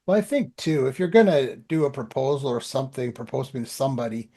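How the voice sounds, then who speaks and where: A man's voice: medium pitch, quick pace, mellow. A man chatting as a podcast host in an informal, loosely anecdotal, conversational way. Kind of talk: casual conversational chat.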